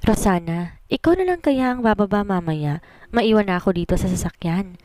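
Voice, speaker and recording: A woman's voice: medium pitch, lively intonation, moderate pace, a little mellow, no room sound, lightly acted. A woman voicing a jittery, chatty young bridesmaid, dramatic and exclamatory, in a Filipino romance audio story. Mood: panicky.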